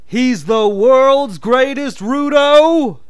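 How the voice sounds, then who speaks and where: A man putting on a booming, theatrical wrestling ring announcer voice while stuffy and nasal from a nose swab. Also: animated, clear, resonant tone, no echo, clean studio sound, exaggerated voice. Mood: hyped. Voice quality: shouting, drawn-out hype delivery.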